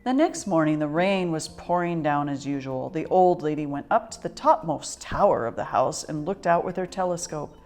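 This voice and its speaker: A woman reading a children's picture book aloud as an expressive, dramatic storyteller. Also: low voice, normal speed, hardly any pauses. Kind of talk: expressive scripted story narration.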